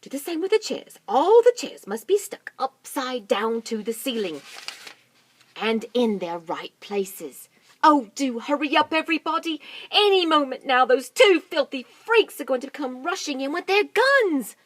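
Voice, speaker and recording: Woman putting on a shouting monkey dad, shrill, frantic, bossy, storybook voice, very lively. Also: slow, exaggerated voice. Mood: urgent. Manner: shrill urgent commands, shouting. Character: bossy frantic male monkey father, excitable.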